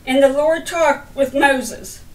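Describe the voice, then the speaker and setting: A middle-aged woman reading the Bible aloud as a sermon, in deliberate broken phrases with stumbles over the words. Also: high-pitched. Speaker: lay preacher reading scripture. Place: indoor room by a window. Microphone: camera a little way off. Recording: roomy, distant camera microphone.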